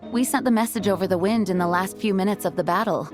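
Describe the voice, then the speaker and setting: A woman plays a dutiful game knight commander with a mid-pitched voice. She is poised, courteous and earnest, with faint music behind. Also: fast talker, natural voice. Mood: probing. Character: gracious, dutiful young female knight commander. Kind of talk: video game voice acting.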